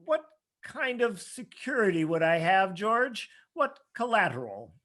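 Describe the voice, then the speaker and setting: Man playing a slow, high-voiced sneering old villain. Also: sing-song, sharp tone, exaggerated voice. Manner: slow, contemptuous. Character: cold, sneering old rich villain, male. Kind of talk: old-time radio drama acting.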